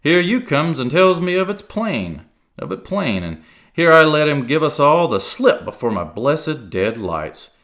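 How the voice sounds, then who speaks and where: Brief: man voicing a loud, cunning one-legged pirate cook, high-pitched bluster, salty talk. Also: big pitch swings, measured pace. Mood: wheedling.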